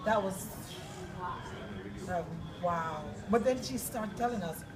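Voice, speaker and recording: A woman from Jamaica, an interview guest, telling her story with expressive, animated delivery. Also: medium pitch, slow. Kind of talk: emotional personal testimony. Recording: distant mic with loud crowd behind.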